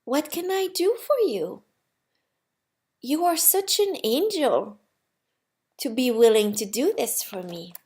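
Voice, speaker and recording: A woman voicing an eager, excitable, innocent little soul in a storybook, childlike and earnest. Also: high-pitched, takes it slowly, long pauses, exaggerated voice. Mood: earnest, grateful. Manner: exclaiming, earnest.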